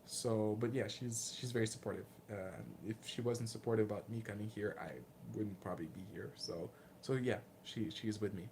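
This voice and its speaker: A man in his late twenties with a Lithuanian accent, casually answering viewer questions in a candid, self-deprecating, halting way. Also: lowish voice, steady tone, a little mellow, a little hiss or hum. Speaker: martial arts vlogger and fighter.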